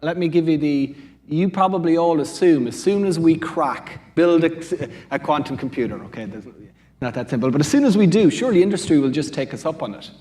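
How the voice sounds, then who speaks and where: A man's voice: on the high side, lively intonation, fairly quick, dark tone. A man with an Irish accent answering as a panel speaker from a business school, discursive and often rephrasing mid-sentence.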